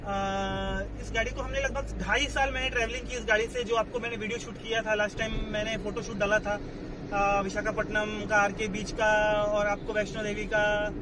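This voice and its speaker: A man's voice: sits high, little pitch movement, moderate pace. A young man, a vlogger, chatting casually about his trips while driving, slipping English phrases in with hesitant fillers. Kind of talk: casual travel vlog chat. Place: inside a moving car, then outdoors at a fort. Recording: noisy road and car background.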